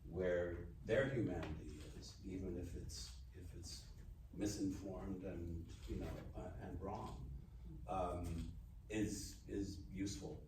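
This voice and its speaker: An older man, an actor, giving reflective panel remarks in a halting, measured way. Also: deep voice. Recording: very echoey room, distant mic, noisy.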